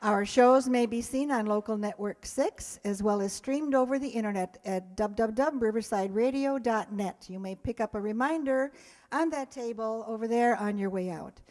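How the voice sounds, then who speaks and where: A woman's voice: a little high, moderate pace, a little mellow. An American woman, director of a theater group, giving a chatty thank-you speech directly to her audience and cast. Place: live stage performance in a hall. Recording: echoey hall with audience sounds.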